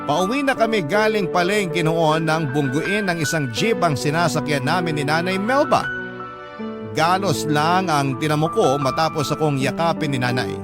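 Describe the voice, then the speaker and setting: A man's voice: a little high, moderate pace. A man with a Filipino accent reading a first-person letter as dramatic, sentimental scripted narration. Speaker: radio storyteller reading a letter. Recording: music playing behind the narration.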